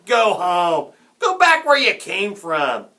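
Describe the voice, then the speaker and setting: A man acting out loud, jeering baseball heckler. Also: high-pitched, sing-song, pitched above the performer's own voice, heavily acted. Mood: angry, mean. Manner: loud, drawn-out yelling. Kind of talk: children's storybook read-aloud.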